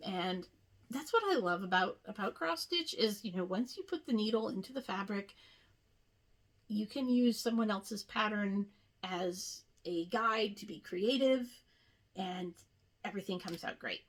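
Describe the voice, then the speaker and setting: Unhurried woman in casual show-and-tell. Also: mid-range voice. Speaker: cross-stitch video host.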